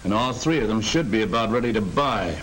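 An actor playing an earnest middle-aged American sales manager in a 1940 training film, speaking in a firm, instructive way. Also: male voice, lowish voice, expressive, a little fast, fairly bright tone, faint music behind. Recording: noisy old 1940 film soundtrack.

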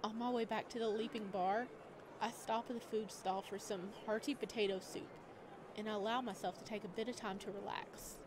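A woman reading a visual novel aloud, switching between calm narration and animated, giggly asides to her viewers. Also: on the high side, noisy background. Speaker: let's play video creator.

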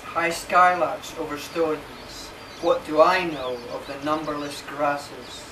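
A man recites poetry very slowly, with pauses between short phrases. He stands well back from the mic in a marquee, so the sound is roomy, with clear background noise. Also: on the high side. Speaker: poet reading own work. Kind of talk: slow live poetry recital. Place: inside a marquee at a live reading. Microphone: camera mic a few metres away. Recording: distant, echoey, noisy camera recording.

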